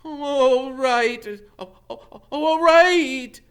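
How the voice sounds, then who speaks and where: A man's voice: very high-pitched, extremely slow, fairly bright tone, pitched above the performer's own voice, full character voice. A man voicing a terrified, pleading man who stutters and whimpers as he sobs.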